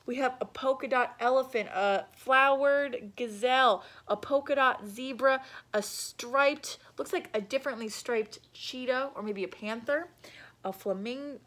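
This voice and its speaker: A young adult woman reading a picture book aloud to children, animated and explanatory, with asides. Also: on the high side. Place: small room at home.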